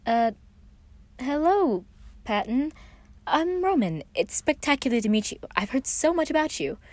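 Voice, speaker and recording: A woman's voice: high-pitched, big pitch swings, a little slow, no room sound, pitched above the performer's own voice. A woman voicing a small, theatrical young man with a charming, slightly hesitant and polite manner in a story reading. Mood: awed, charming.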